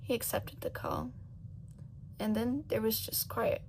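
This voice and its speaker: A woman reading a story as a narrator, in an expressive, dramatic scripted style. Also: a little high, a little slow, slight background noise, poor audio. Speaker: audiobook narrator of fan fiction.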